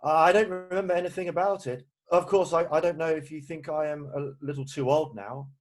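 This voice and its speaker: A man playing an exasperated, hesitant Victorian gentleman in an amateur play read-through. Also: on the high side, fairly even intonation, a little fast, close to an everyday voice. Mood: sheepish, polite. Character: exasperated, indignant Victorian gentleman, guardian of a young woman.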